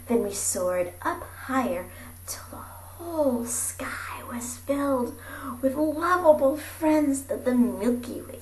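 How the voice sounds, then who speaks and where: Female storybook reader, theatrical and highly expressive, unhurried pace, roomy amateur recording. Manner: theatrical, dropping into breathy whispers and calling out. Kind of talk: animated rhyming picture-book read-aloud. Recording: roomy echo with faint background noise.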